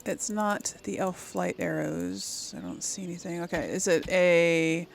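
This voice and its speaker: A woman's voice: on the low side, animated, measured pace, creaky, a little hiss or hum. A woman hosting a gaming channel, giving casual, informal let's play commentary and thinking aloud.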